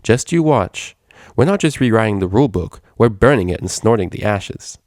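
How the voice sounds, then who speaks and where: A man's voice: lowish voice, animated, normal speed, a little mellow, no room sound, studio quality, natural voice. A man voicing a cocky, teasing young female unicorn DJ with a brash, slangy, playful manner in a story reading. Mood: cocky bravado.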